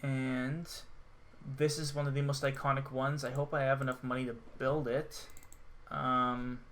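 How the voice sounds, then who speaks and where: A man's voice: mid-range voice, little pitch movement, unhurried, fairly bright tone. A young adult man giving casual gameplay commentary as co-host of a gaming show.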